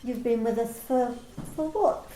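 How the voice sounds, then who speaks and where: A woman's voice: on the high side, unhurried, reverberant, a little hiss or hum, natural voice, low-quality recording. A woman playing a clinical, prying office manager. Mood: businesslike. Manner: calm, matter-of-fact, bureaucratic questioning. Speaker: manager in an amateur radio play. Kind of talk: amateur lockdown radio play reading.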